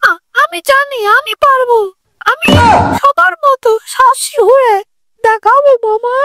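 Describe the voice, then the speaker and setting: A woman's voice: very high-pitched, a little slow, heavy background noise. A woman voicing a timid young child in a children's cartoon, earnest and simply spoken. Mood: proud. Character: timid young child nephew learning to be brave. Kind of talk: children's moral-story cartoon dialogue.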